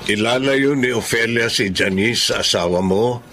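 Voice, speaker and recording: An actor with a Filipino accent playing a frank, deliberate middle-aged father in a radio drama. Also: medium pitch, background noise, close to an everyday voice. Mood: reasoning.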